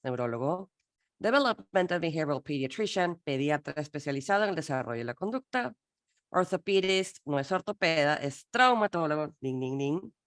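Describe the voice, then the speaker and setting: Low-voiced woman from Peru teaching. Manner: lively, playful teaching with acted-out dialogue. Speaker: workshop presenter, interpreter. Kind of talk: online workshop presentation.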